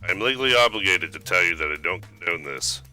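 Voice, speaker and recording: A man voicing a world-weary, formal and deadpan school official in a parody cartoon, with music behind him. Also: low voice, fast, heavily acted. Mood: resigned.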